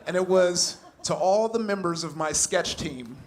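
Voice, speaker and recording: High-voiced young comedian on a noisy stage. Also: male voice, a little slow. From Compton, California. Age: young adult. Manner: animated, laughing through punchlines, acting out shouted quotes. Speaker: stand-up comedian. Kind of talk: stand-up comedy set. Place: comedy club stage with a live audience.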